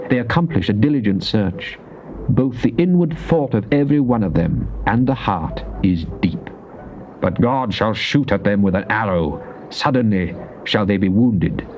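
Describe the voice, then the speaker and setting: A devout psalmist in a dramatized Bible recording, praying fervently. He declaims solemnly in measured phrases. The old cassette sound is dull, with a faint hiss behind him. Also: lowish voice, a little mellow. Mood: pleading, grave. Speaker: scripture reader. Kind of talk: dramatized King James Bible reading.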